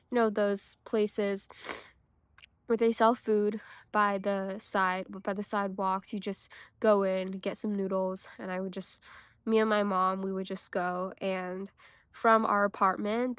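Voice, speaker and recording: A woman's voice: medium pitch, smooth, no echo. A teenage girl from China reflecting in a personal vlog, thoughtful and hesitant, with many fillers and restarts. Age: teens. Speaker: vlogger.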